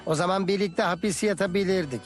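High-voiced kindly old male teacher played by an actor. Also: fast, natural voice. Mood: patient, instructive. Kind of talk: dubbed educational cartoon storytelling. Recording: loud music behind the voice.

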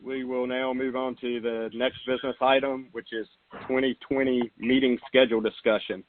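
A man with a mid-pitched voice chairs a formal council meeting. His voice comes through a thin, narrow phone line. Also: flat delivery, moderate pace, a little hiss or hum. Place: conference call. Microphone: telephone.

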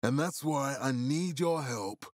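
An actor playing a stern, middle-aged military leader in a post-apocalyptic video game, speaking with formal, deliberate authority in a husky, gravelly voice. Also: male voice, medium pitch, lively intonation, no echo, studio quality, natural voice. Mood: elated. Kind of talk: video game character dialogue.

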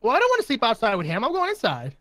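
A man's voice: extremely high pitch, huge pitch swings, rapid-fire, a bit bright. A man bantering casually as a co-player in a gameplay roleplay video.

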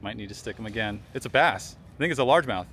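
A man's voice: lowish voice, lively intonation, quick pace, a bit bright, a little hiss or hum. A man guiding a fishing charter from a boat, hyped and laughing as fish come in, swearing now and then. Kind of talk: excited on-the-water banter. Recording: music playing behind voice.

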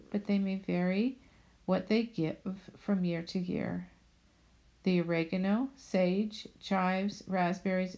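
A woman narrating a voice-over about her home garden in a steady, plainly read manner. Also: mid-range voice, gravelly. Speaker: budget vlogger.

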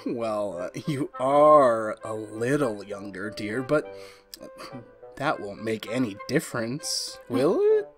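A henpecked, flustered husband, acted by a woman in old radio drama style. The voice is very deep and slow, hesitant and confiding, with faint music underneath. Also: very animated, exaggerated voice. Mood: fond, flustered. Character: nervous, henpecked husband, a university history teacher. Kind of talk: retro 1940s radio drama, first-person narration.

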